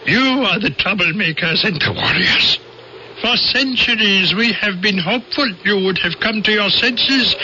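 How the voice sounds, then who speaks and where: An actor playing a stern, proud alien visitor in an old-time radio drama, speaking in a formal, pompous way. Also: male voice, high voice. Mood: solemn. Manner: formal, pompous, deliberate speech. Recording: dull, noisy old radio recording.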